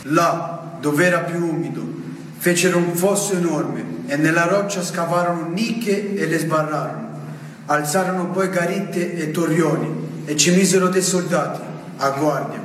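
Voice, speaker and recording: A man's voice: fairly high pitch, normal speed. A man reciting poetry as a reader at a recital. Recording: echoey hall from far off, faint noise.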